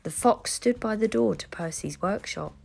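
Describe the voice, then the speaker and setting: A woman reading a children's book aloud as an expressive, deliberate storyteller. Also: medium pitch, gravelly, soft background music. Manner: animated, deliberate.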